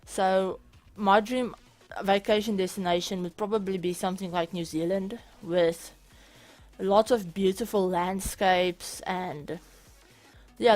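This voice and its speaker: Young woman, South African accent, chatty, restarts often, mid-pitched voice. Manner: chatty, with restarts and small laughs. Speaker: gaming YouTuber. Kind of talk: casual Q&A over a speed build.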